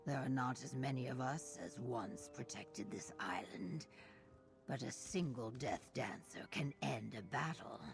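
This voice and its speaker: Very deep, gravelly warrior woman. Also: full character voice. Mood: proud. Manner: grave, measured, formal wording. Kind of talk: video game dialogue. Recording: game music behind the voice.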